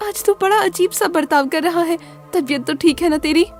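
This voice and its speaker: A woman voicing a concerned, reassuring mother of a young boy in an animated horror story. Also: very high-pitched, moderate pace, soft background music, pitched above the performer's own voice.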